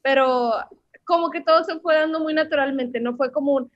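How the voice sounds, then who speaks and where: A woman's voice: sits high, normal speed, no room sound, lo-fi sound. A woman in her twenties with a Mexican accent, a language teacher chatting about her story as an interview guest, with lots of fillers. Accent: Mexican Spanish. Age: 20s. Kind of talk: casual interview answers.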